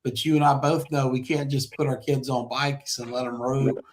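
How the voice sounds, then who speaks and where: A man's voice: medium pitch, fairly even intonation, normal speed. A middle-aged man hosting a conversational local interview show, speaking in an effusive, affirming way. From small-town Louisiana, United States.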